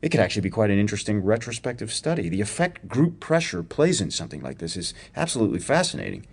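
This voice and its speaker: An actor playing a wry, probing academic research professor in a radio drama, with a dryly sardonic, precise way of talking. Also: male voice, on the low side, sing-song, fast talker, breathy edge, exaggerated voice. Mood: probing, persuasive. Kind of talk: radio theatre acting, dramatic dialogue.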